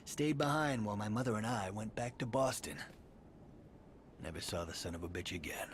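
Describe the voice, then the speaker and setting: A man's voice: fairly low pitch, fairly quick, close to an everyday voice. A man playing a bitter, sardonic estranged son of a tycoon in a video game cutscene, speaking with dry weariness. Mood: bitter, haunted. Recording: noisy game capture.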